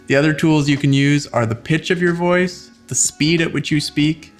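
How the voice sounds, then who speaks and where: A man's voice: on the high side, fairly even intonation, normal speed, faint music behind. A man hosting a scripted how-to video, speaking in an instructional way with playful, demonstrative emphasis. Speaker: host giving tips for tabletop RPG character voices.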